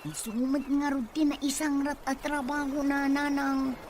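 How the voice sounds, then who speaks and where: An actress plays a reluctant young farm helper in a radio drama. The voice is high and complaining, with slight background noise. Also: female voice, level tone, measured pace, a little mellow. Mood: grumbling. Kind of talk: Ilocano radio drama acting.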